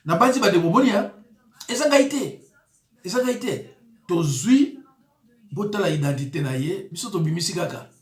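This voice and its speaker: A man's voice: sits high, expressive, moderate pace, pauses often, roomy sound. Emphatic man preaching commentary. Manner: emphatic, indignant, with rising exclamations. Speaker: pastor. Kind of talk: commentary on news clips.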